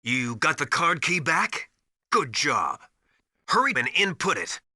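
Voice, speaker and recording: An intense, bright-voiced military mentor. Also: male voice, a little high, sing-song, measured pace, no echo, clean studio sound, heavily acted. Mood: urgent, insistent. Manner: didactic, urgent, emphatic instruction. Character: knowledgeable, commanding male military survival mentor called Master. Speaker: radio support advisor. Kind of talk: video game voice acting.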